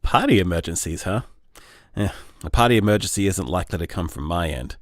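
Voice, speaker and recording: A man playing a gentle, bashful, overthinking young boyfriend in a casual audio drama, mild and hesitant. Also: low-pitched, lively intonation, brisk, dry recording. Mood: wry, playful. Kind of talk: audio drama voicemail monologue, casual and conversational.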